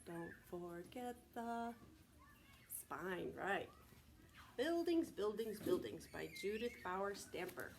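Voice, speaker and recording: A woman preschool teacher reading aloud to young children in a deliberate, child-directed way, often asking prompting questions. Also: mid-range voice, expressive, background noise. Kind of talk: read-aloud and sing-song circle time for young children.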